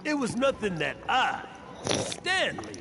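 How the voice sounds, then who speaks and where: Pompous adventurer, wildly swooping voice. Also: male voice, high voice, wildly swooping pitch, takes it slowly, creaky, background noise, exaggerated voice. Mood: boastful, indignant. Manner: pompous, theatrical. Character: boastful, self-important male adventurer telling tall tales. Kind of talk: video game character acting.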